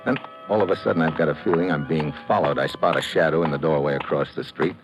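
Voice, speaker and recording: An actor playing a tough, wry American investigator in clipped, slangy mid-century radio detective style. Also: male voice, rumbling low, quick pace. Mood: tense narrating. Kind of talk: 1940s-50s radio detective acting. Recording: noisy old radio broadcast recording.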